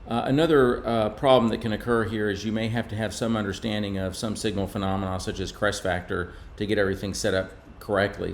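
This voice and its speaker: A man giving an explanatory technical slide presentation in a measured, informal way. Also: on the low side, slight background noise. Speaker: presenter from a radio maker.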